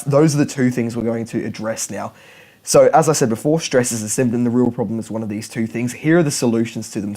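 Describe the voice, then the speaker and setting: Young man, casual video lesson, rambling, restarts phrases, mid pitch. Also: a little mellow. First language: English. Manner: rambling, repeats and restarts words. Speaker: language learning YouTuber. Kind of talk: casual explainer to camera.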